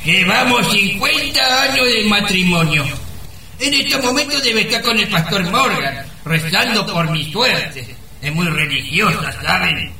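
An actor playing a doddering, lecherous old married man with a creaky, sharp voice in a comic radio play. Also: high voice, lively intonation, measured pace, reverberant, recorded from a distance, a little hiss or hum, full character voice, rough recording. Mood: meek, fond. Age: older.

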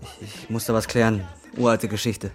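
Bitter, low-voiced young down-and-out played by an actor. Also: male voice, flat delivery, normal speed, faint music behind, natural voice. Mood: desperate. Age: 20s. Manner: coarse, slangy, weary. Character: down-and-out drug courier of 25, bitter and desperate. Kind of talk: radio drama acting and first-person narration.

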